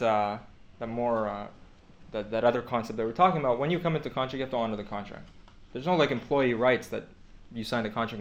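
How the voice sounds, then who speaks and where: A man teaching a class, explaining points at length with frequent restarts and fillers. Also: mid-range voice. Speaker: teacher of Torah law. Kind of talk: classroom lecture.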